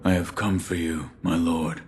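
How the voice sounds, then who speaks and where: A man playing a stoic, loyal shinobi bodyguard in a video game, terse, gruff and formal. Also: low voice, flat delivery, a little slow, noisy background. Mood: solemn. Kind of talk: video game cutscene acting.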